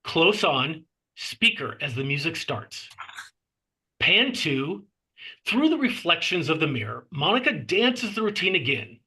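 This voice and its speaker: A man narrates a screenplay in a fairly high, forceful voice with a husky grain. He delivers the stage directions in measured phrases and clips the scene headings short. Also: big pitch swings, low-quality recording.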